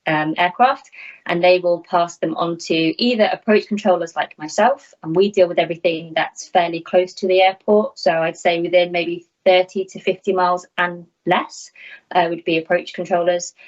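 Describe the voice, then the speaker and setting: A woman's voice: on the low side, steady tone, moderate pace. A woman in her thirties giving candid, self-deprecating, conversational answers as an interview guest from a small room at home. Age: 30s. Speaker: air traffic controller, interview guest. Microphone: video call, laptop at arm's length. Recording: slightly dull video-call audio.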